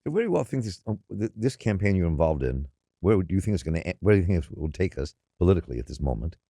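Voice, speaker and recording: A man's voice: low voice, big pitch swings, very fast, mellow, slightly rough, dry recording, studio quality. An older man hosting a radio interview, rambling through his questions with many restarts. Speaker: interview host.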